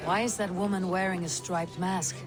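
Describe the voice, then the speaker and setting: A woman playing a stoic, direct and courteous Viking warrior in a video game, speaking in a measured way. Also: fairly low pitch, fairly even intonation, close to an everyday voice. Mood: calm. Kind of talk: video game character dialogue. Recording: loud music behind the voice.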